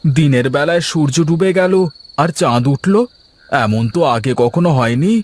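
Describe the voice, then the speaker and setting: Man voicing excitable, higher-pitched village farmer. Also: normal speed. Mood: amazed. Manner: exclamatory, repeats phrases, asks anxiously. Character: excitable, puzzled young male village farmer. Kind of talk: Bengali fairy-tale cartoon dialogue.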